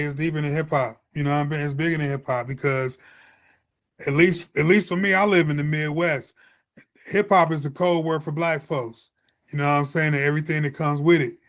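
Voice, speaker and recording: A man from the United States with a slightly high voice speaks casually on a panel. He is earnest and slangy, often checking that listeners follow him. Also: a bit bright. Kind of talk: casual conversational panel talk.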